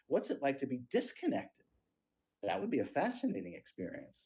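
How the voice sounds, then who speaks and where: A man with a husky, slightly breathy voice speaks in an earnest, emphatic way. He is a podcast host teaching about spirituality, and his voice sits at a middle pitch. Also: wildly swooping pitch, a little fast, lo-fi sound.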